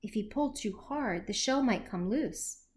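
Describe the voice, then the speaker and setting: A woman reading a children's story aloud as a teacher would, expressively and with deliberate phrasing for young listeners. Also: medium pitch, slight background noise. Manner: animated, slow, deliberate, with questions to young listeners.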